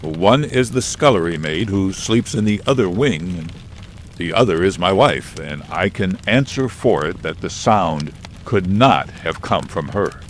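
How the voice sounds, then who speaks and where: Male performer, solemn formal butler, deep pitch, measured, evasive, audiobook drama. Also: expressive, a little hiss or hum. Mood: guarded, solemn. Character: grave, evasive butler, a formal adult man.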